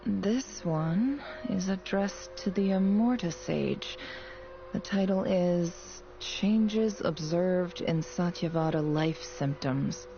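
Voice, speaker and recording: An actress playing a guarded, wry young female student in a video game, with a measured, dry way of talking. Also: fairly low pitch, creaky, warm tone, highs cut off, slight background noise. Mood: curious, musing.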